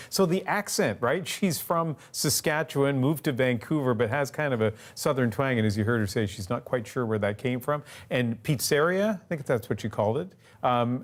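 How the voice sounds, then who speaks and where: A man anchoring a news broadcast in a friendly, conversational way. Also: medium pitch. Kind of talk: news presenting.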